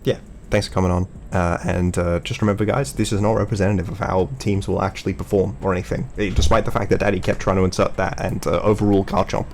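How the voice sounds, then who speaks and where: Casting: man for casual gaming commentary, lower register, creaky edge, playful teasing delivery, noisy home-made sound. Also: level tone, fast talker. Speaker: host of a Pokémon draft analysis video.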